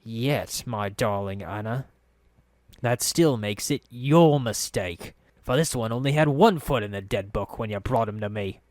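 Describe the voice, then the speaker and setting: A man voicing a menacing older man on a crutch, quiet, silky and dangerous. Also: on the low side, measured pace, no echo, lightly acted.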